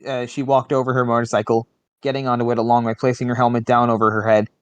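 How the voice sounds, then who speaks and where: A man's voice: mid-range voice, flat delivery, quick pace, clean tone, no echo. A man doing a casual amateur reading of a story, repeating and correcting misread words as he goes. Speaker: reader of fan fiction on a livestream.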